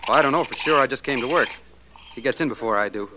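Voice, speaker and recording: A man's voice: medium pitch, fast, lightly acted. An actor playing a helpful young male store clerk in a 1940s radio drama, informal and chatty. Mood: eager, helpful. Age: young adult. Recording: noisy old radio recording.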